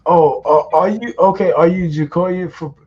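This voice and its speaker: A man's voice: sits high, moderate pace, warm tone, slightly rough, muffled recording. A man hosting a casual online voice chat, slangy and loose, swearing often and laughing a lot. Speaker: host. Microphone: online call mic.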